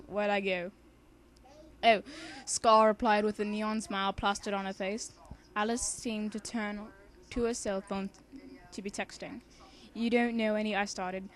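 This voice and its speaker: A young woman reads a story aloud in a casual, homemade way. She has a medium-pitched voice and an excitable, giggly manner, and she drifts easily between the text and chatty asides. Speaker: amateur fan fiction reader and co-host.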